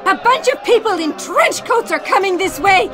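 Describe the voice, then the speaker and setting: A woman playing an excitable, wide-eyed kid on a space adventure, eager and exclaiming, in an audio drama. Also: very high-pitched, moderate pace, loud music behind the voice, a loud crowd. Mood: alarmed. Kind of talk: sci-fi audio theatre acting.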